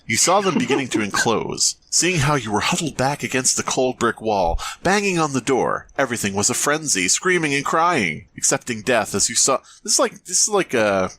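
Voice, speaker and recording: A man co-hosting a podcast, reading fan fiction mock-dramatically with casual, expressive banter and frequent riffing asides. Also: mid-range voice, normal speed, hardly any pauses, a little hiss or hum. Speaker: podcast co-host.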